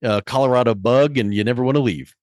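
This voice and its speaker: A man's voice: medium pitch, fast talker, darkish tone, dry recording, studio quality. A man from Virginia hosting a podcast interview, upbeat and chatty, often repeating words. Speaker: podcast host.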